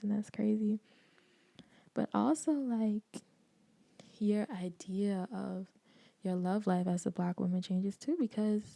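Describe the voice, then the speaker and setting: A young woman from the Bahamas, hosting her own podcast in candid, animated casual talk with frequent laughs and slangy asides. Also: medium pitch, vocal fry, dry recording. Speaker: podcast host. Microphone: desk microphone.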